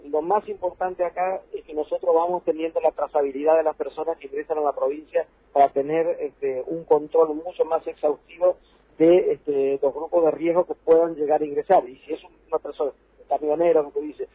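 Husky Argentine man on a phone line. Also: a little high, steady tone, a little fast, dry recording. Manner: explanatory, insistent, repeats for emphasis. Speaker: provincial health official. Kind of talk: phone interview on radio. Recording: dry, tinny phone line.

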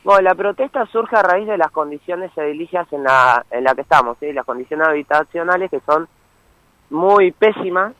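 A man's voice: a little high, animated, moderate pace, bright, forceful tone, no echo. A young man from Misiones with an Argentine Spanish accent, calling in as a student activist and explaining his cause earnestly, often checking in with "¿sí?". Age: young adult. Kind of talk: phone interview. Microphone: phone. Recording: muffled phone line.

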